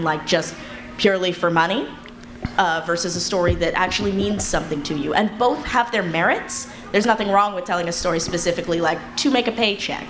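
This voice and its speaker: A woman speaking as a panelist, earnest and emphatic, in a conversational style. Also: lowish voice. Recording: noisy live convention room.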